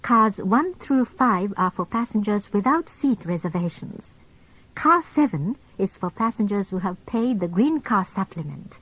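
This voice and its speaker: A woman reading scripted onboard train announcements in a formal, measured way. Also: medium pitch, lively intonation, fairly bright tone. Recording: dull lo-fi old cassette tape.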